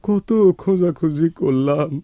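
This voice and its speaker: Guy acting a tearful poor village man, rural bangladeshi accent, slow and plaintive, radio play with loud music behind. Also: a little high, no room sound, dull audio, natural voice. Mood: sorrowful. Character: sorrowful poor village brother, tender uncle to a child. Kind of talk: melodramatic radio drama acting.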